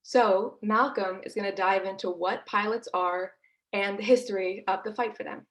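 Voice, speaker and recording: Woman, medium pitch, activist presenter, advocacy talk, emphatic, indignant delivery. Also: fairly even intonation, normal speed.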